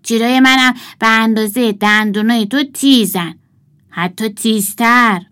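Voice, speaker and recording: Storybook boy archer, acted by a woman: lightly high voice, blunt, quick to take offence. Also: fairly bright tone, close to an everyday voice. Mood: indignant. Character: plain-spoken boy doll archer, easily irritated. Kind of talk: children's storybook character.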